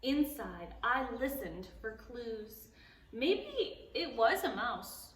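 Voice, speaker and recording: A woman reading a picture book aloud to preschoolers at a library storytime, animated and playful, stopping to ask the children questions. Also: a little high, takes it slowly, slight background noise. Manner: playful, gasps and exclaims at the story. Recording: echoey room, voice a bit far from the mic.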